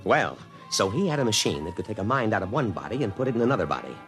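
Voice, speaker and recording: An actor playing a stiff, by-the-book army officer who narrates a 1950s radio drama in an official manner. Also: male voice, lowish voice, very animated, quick pace, a bit bright, soft background music. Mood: dry, self-satisfied.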